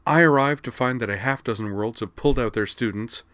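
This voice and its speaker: A man's voice: mid-range voice, brisk, a bit bright, no echo, voice pushed up, lightly acted. A man reading the part of an outraged young alien woman student, snappish and indignant. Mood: complaining.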